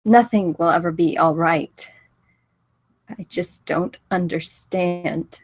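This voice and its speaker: A woman's voice: on the low side, slow, breathy edge, no room sound. A woman at a table read playing a shaken, doubting young woman in a film drama. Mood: grieving, bewildered. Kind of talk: screenplay table read acting.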